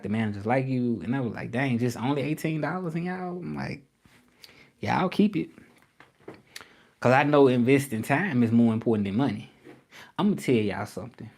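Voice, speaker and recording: Man in his own livestream, mid-pitched, casual and repetitive, addresses viewers directly. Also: a little fast, a little mellow. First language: English. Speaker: livestreaming creator and language tutor.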